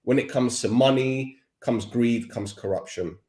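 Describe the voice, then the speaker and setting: A man's voice: mid-range voice, unhurried, warm tone, reverberant. A man with a Cockney accent, a podcaster talking as a guest expert in a casual, rambling interview chat. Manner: chatty, rambling with restarts.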